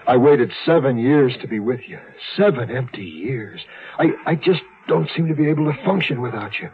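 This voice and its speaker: Intense lover, male actor, fervent and halting, radio melodrama, muffled recording with faint hiss. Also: mid-range voice, lively intonation, close to an everyday voice. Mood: fervent, tender. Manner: halting, fervent, repeating himself. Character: lovesick, intense man reunited with his lover. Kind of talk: melodramatic 1970s radio drama acting.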